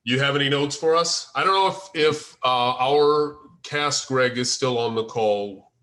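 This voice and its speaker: A man hosting a casual table read discussion as the writer, phrasing his thoughts in a halting, deliberate way. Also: mid-range voice, bright, forceful tone. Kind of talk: casual discussion, giving and asking for feedback.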